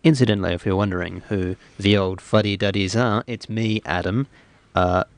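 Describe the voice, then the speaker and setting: Hoarse, low, creaky male radio host. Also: normal speed, dry recording. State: hoarse, ill. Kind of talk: comic radio banter. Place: radio studio.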